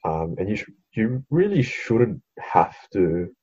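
Podcast co-host: a young Australian man with an Australian accent, husky and laid-back. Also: lowish voice, big pitch swings, slow, darkish tone, highs cut off. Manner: laid-back, swears casually, hesitant with many ums. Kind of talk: casual chat.

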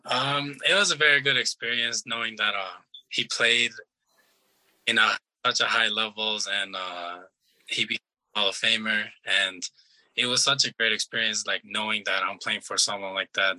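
Low, bright-toned young man from Iran. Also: rough recording. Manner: relaxed, plain-spoken, halting with restarts. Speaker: soccer player being interviewed. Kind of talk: casual interview answers.

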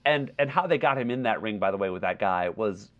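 A man co-hosting a podcast in a casual film discussion, talking in a halting, wordy way as he thinks aloud. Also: lowish voice, animated, muffled recording. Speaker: podcast co-host.

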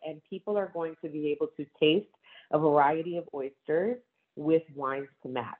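A woman talks casually and with enthusiasm, laughing now and then. Her voice comes through thin and tinny, like it's heard over a phone. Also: low-pitched. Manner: enthusiastic, frequent laughs and asides. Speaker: wine bar owner. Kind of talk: casual conversation.

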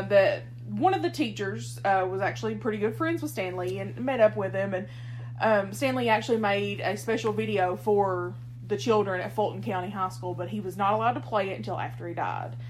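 A woman's voice: medium pitch, normal speed, low-quality recording. Woman in her 30s co-hosting a podcast, chatty and rambly, laughs at herself, little bit of background hum. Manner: chatty, rambling, self-deprecating. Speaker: podcast co-host. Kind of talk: casual chat.

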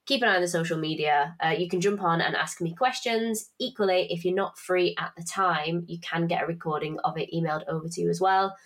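A woman's voice: lowish voice, fairly even intonation, fairly quick, hardly any pauses. A young woman in her twenties, a podcast host, talking in a chatty, swearing, self-mocking way while congested from hay fever. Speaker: podcast host and finance tutor. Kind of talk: casual podcast chat with promotion.

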